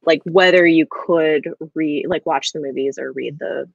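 Woman, thirties, book livestream host: lowish voice, rambling and informal, close dry sound with slightly muffled video-call quality. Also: steady tone, a little slow, gravelly, no echo. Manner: hesitant, rambling with frequent "like". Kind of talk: casual livestream book chat. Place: small room at home, video call. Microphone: earbuds on a video call. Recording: dull video-call audio.

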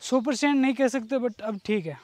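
Young male handler, high pitch, brief deferential replies, open-air. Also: moderate pace, a little mellow. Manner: short compliant replies, "जी, जी". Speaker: stud farm handler. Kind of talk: casual answers. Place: outdoors on a farm lawn.

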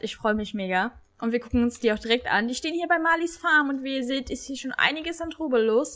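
A young woman with a cold, hosting a game video in casual, excited commentary that stumbles now and then while reading aloud. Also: fairly high pitch. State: has a cold. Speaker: gaming channel host.

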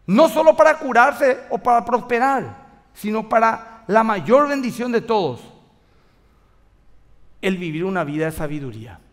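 A Paraguayan pastor in his forties delivers an animated sermon, voicing little dialogues as he goes. His voice sits high for a man and climbs and drops dramatically. The church hall adds a long reverb tail. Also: unhurried. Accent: Paraguayan Spanish. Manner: animated preaching with acted dialogue and gestures. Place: large church stage with live congregation.

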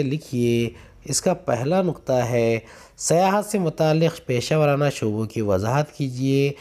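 A man's voice: mid-range voice, a little mellow. A man, a school teacher reading textbook questions and answers aloud in an instructional way, point by point. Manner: instructional, announcing numbered points.

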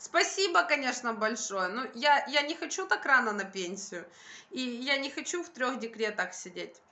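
A woman's voice: on the high side, animated, moderate pace, bright, forceful tone. A young woman vlogger chatting casually to her phone indoors, talking emphatically and colloquially with repeated phrases. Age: young adult. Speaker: vlogger and mother. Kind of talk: casual chat to camera. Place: indoor room by a window. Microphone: phone at arm's length.

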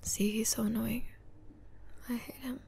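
A woman playing an anxious, grieving young adult in an ASMR roleplay, speaking in a hushed, breathy, halting way. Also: fairly high pitch, flat delivery, a little hiss or hum. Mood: subdued, fond. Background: hospital ambience.

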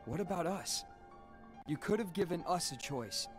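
Game casting: male actor as a young warrior hero, fairly high for a man, earnest, animated, music underneath. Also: moderate pace, close to an everyday voice. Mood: troubled. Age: young adult. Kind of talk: video game cutscene acting.

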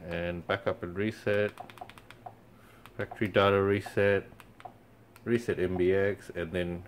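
A young man talking through a product how-to, easygoing and a little halting, full of ums and you-knows. His voice sits on the lower side. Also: a little hiss or hum. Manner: relaxed, many fillers. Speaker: company product presenter. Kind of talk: casual how-to walkthrough. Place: indoors in front of a TV screen.

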